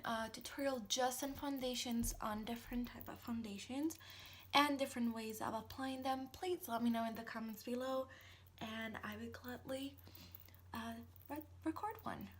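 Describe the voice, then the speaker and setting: A woman's voice: fairly high pitch, moderate pace, a little hiss or hum. A woman in her thirties from Italy with an Italian accent, casually talking through a makeup routine, full of ums and small restarts. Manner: chatty, with frequent ums and restarts. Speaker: makeup tutorial host. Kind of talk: casual how-to narration.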